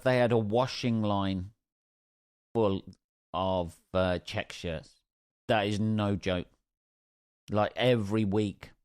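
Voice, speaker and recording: Low-voiced sweary Northampton man. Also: smooth, no echo, clean studio sound. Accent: English, Northampton. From England. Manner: sweary, rambling, jokey asides. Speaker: podcast host, stand-up comedian. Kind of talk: casual solo podcast storytelling.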